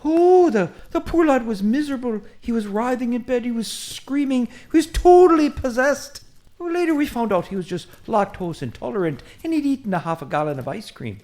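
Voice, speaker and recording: A woman voicing a chatty, excitable Irish priest, a short man who rambles eagerly in an Irish accent in a comic audio drama. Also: fairly high pitch, fairly quick, close to an everyday voice. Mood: rambling, curious. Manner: rambling, eager, easily distracted.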